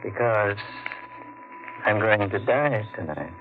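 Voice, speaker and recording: A man's voice: lowish voice, expressive, takes it slowly, faint music behind. An actor playing an eccentric, domineering old rich man in a 1940s radio drama. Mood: scheming. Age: older. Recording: tinny old radio broadcast.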